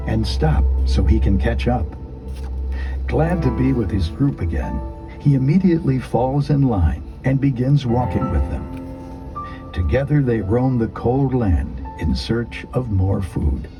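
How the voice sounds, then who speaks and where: A man narrating a children's storybook in an expressive, deliberate, scripted storytelling delivery. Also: mid-range voice, lo-fi sound, music in the background. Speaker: audiobook narrator.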